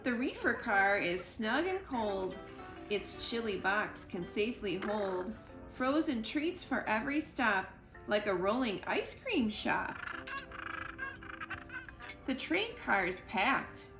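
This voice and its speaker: A woman reading a children's picture book aloud in an expressive storytime style, with deliberate, playful emphasis on the rhyming words. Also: fairly high pitch. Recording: roomy echo with music behind. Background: music.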